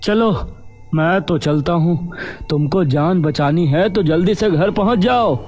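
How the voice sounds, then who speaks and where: A man playing an alarmed, scolding village tea-shop keeper in an animated story. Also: high-pitched, a little slow, soft background music. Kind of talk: acted animated story dialogue.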